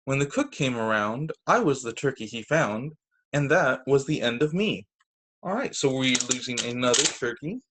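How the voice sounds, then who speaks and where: A man teaching young children through a read-aloud storytime on a video call, with expressive, deliberate phrasing. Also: mid-range voice. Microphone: video call microphone.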